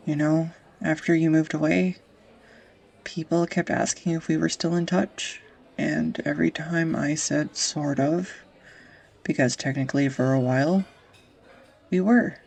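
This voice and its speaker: A woman acting an anxious, tender young woman in an intimate audio roleplay, speaking in a halting, confessional ramble on a close microphone. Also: low voice, steady tone, creaky, a little mellow, breathy edge, heavily acted. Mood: wry, nostalgic. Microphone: close USB studio microphone.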